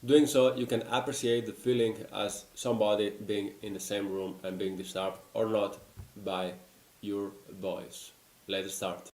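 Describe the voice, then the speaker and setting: A man's voice: on the low side, flat delivery, a little slow, a little mellow. A man, a company founder formally presenting a product demo. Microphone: desk microphone in front of him. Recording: roomy echo.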